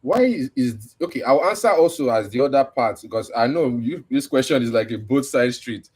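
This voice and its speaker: Man, livestream host, medium pitch, casual chat, explanatory, conversational. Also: animated, moderate pace, a little mellow, breathy edge.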